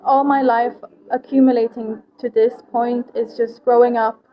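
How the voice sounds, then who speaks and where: A woman's voice: on the high side, little pitch movement, moderate pace, dull audio. A woman who grew up in Sweden, an interview guest and food project founder, explaining her ideas earnestly in simple steps. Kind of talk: casual interview conversation.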